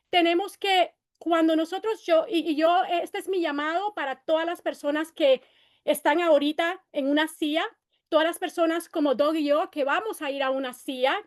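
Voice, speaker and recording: A woman in her fifties running for city council, giving an impassioned campaign speech at a meeting, moving between Spanish and English and repeating her calls for unity. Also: high voice. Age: 50s. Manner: impassioned, rallying, repeats phrases.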